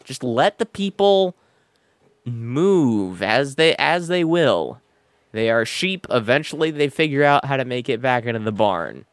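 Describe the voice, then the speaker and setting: A man's voice: medium pitch, sing-song, measured pace, no room sound. A man co-hosting a podcast in casual, irreverent banter, joking and ranting with plenty of profanity and sarcasm. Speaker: podcast co-host.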